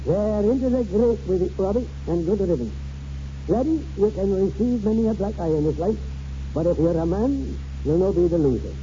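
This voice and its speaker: Old Scottish rascal grandfather, grandiloquent and theatrical, very dark tone, dull noisy 1940s radio recording. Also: sits high, animated, moderate pace. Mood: proud, rousing. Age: older.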